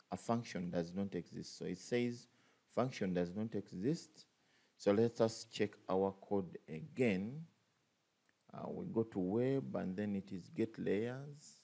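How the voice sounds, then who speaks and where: A man's voice: fairly low pitch, dark tone. A man teaching a coding tutorial over a screen recording, thinking aloud with many fillers and restarts. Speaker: programming tutor.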